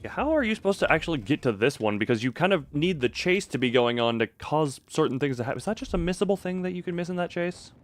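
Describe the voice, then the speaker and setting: Animated man streaming a video game. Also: on the high side, fast talker, hardly any pauses. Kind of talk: casual live gameplay commentary, thinking aloud.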